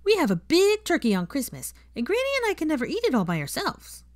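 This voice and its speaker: A woman voicing an excitable, cheeky little boy in a storybook reading, earnest and eager. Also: high voice, fast talker, voice pushed up. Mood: excited. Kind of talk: fan fiction audiobook character voice.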